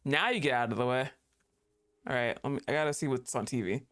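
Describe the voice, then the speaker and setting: Low-voiced playful woman streamer. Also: fast talker. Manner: playful, laughs often, blunt asides. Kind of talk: casual game stream commentary.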